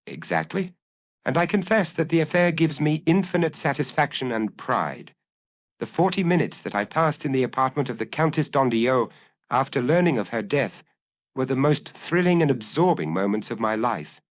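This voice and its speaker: A man voicing a proud, self-satisfied gentleman thief in an audiobook, boastful and grand in his telling. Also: mid-range voice, sing-song, normal speed, voice pushed up, natural voice. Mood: smugly proud. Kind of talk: audiobook character acting.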